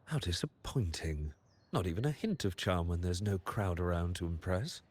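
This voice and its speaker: Sly smooth-talker with swooping intonation. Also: male voice, sits low, animated, moderate pace, darkish tone. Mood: mocking, suave. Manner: theatrical, silky, mocking formality. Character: smooth-talking, money-loving male manager, sly and condescending. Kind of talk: video game voice acting.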